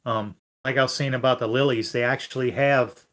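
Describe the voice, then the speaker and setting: A man's voice: medium pitch, steady tone, fairly quick, a bit bright. Easygoing man chatting on a livestream. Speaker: co-host of a livestream. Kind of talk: casual livestream chat.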